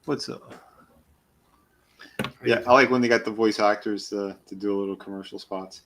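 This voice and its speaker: A man's voice: fairly low pitch, steady tone, normal speed, fairly bright tone, a little hiss or hum. A man chatting casually as a panelist, often in short remarks and questions. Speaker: panelist on a toy show. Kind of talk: casual chat with short interjections.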